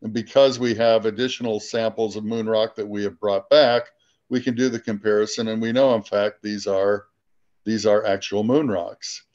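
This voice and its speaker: An older man, a guest speaker in an online meeting, explains an outreach program informally, in a measured way with pauses mid-sentence. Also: fairly low pitch, fairly even intonation, a little mellow. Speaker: guest speaker from a space research institute. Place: small room at home, indoors. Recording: dull lo-fi video-call audio.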